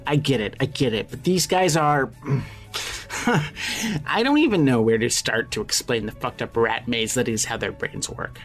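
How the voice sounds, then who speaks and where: A man playing a scrappy, foul-mouthed, evasive character on the run in audio fiction, talking casually and haltingly. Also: medium pitch, expressive, fairly bright tone. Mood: exasperated. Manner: casual, sweary, halting when pressed. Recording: music under the voice. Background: music.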